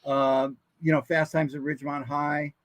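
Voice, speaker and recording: A man's voice: a little high, little pitch movement, moderate pace, no echo. An older American man, a voice actor appearing as a guest, tells casual anecdotes and acts out the quoted dialogue in them.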